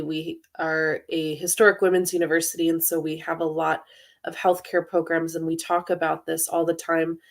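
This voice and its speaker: A woman presenting in a webinar about study programs in an informative, steady way, with frequent hesitation fillers, over a computer mic. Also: sits low. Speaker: university international student services director, presenter.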